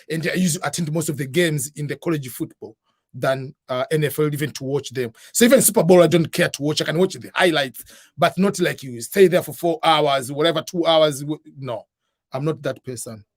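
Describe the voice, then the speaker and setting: A man's voice: fairly high pitch, fairly quick, no echo, clean studio sound. A man hosting a livestream, answering viewer questions in an informal, rambling way, often saying "uh" and "whatever.". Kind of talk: casual advice chat.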